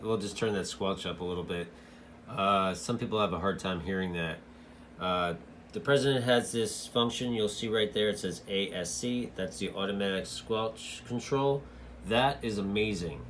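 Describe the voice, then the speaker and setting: A man giving a casual, hands-on product review in a halting way, as a hobbyist. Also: low voice, a little hiss or hum. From United States.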